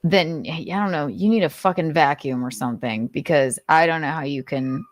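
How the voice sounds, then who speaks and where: A woman's voice: low-pitched, normal speed, no room sound. A woman host giving commentary while reading aloud, sardonic and exasperated, with casual swearing. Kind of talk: commentary mixed with dramatic reading.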